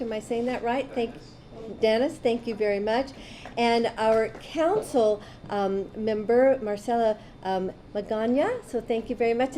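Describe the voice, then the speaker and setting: A woman's voice: fairly high pitch, warm tone, a little hiss or hum. A woman emceeing a student awards breakfast, graciously thanking volunteers and guests one by one. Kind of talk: ceremony announcements.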